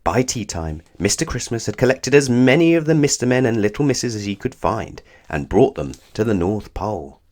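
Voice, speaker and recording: A man reading a children's storybook aloud as narrator, with expressive, measured phrasing. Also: on the low side.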